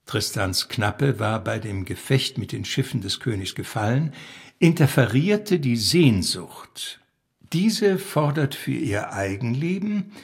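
A man reading a literary story aloud as narrator, with a husky, gravelly voice. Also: medium pitch. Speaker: reader.

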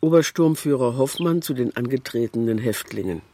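Male documentary narrator, medium pitch, scripted delivery with faint background noise. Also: a little fast, warm tone.

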